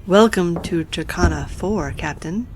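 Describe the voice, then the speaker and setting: Woman as an urbane, lowish-voiced alien administrator. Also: measured pace, a little mellow, slight background noise, close to an everyday voice, rough recording. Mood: polite, smooth. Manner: formal, polished, dry. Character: urbane, gracious female alien administrator, drily sardonic. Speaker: voice actor. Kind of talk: sci-fi audio drama acting.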